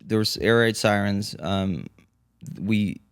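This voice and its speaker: Low, gravelly man, hesitant storyteller. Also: slow, no echo, clean studio sound. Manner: hesitant, restarts and repeats words. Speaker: podcast co-host. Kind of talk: casual conversational storytelling.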